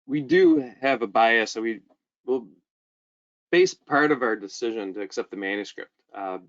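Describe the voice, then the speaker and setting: Male webinar presenter, lower register, informal and hesitant delivery, lo-fi band-limited audio. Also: animated, moderate pace. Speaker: webinar presenter, journal editor.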